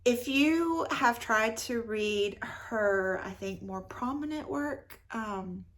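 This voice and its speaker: A woman's voice: on the high side, slow. A woman giving a casual, effusive book review as a vlogger, often repeating words for emphasis.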